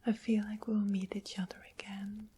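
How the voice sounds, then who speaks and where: A woman's voice: mid-range voice, level tone, warm tone, a little hiss or hum, natural voice. Whispering, sly, sweet witch played by a woman. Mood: sweetly sly. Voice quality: breathy whisper. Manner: unhurried, polite, coaxing. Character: sweet-smiled, mischievous witch of the west, softly sly. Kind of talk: ASMR fantasy roleplay.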